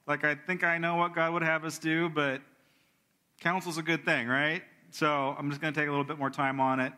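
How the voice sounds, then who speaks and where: A middle-aged pastor with a bright, forceful voice, talking loosely to his congregation. He restarts phrases often and slips into a softer tone when he prays. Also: fairly high pitch, level tone, a little fast. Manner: conversational, self-correcting, prayerful. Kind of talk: sermon with announcements and prayer.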